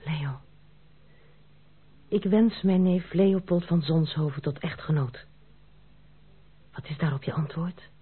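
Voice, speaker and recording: Radio drama: proud, earnest young noblewoman, husky voice, formal diction, muffled narrow-band old recording. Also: sits low, takes it slowly, pauses often, heavily acted. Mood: tender, solemn. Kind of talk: Dutch radio play acting.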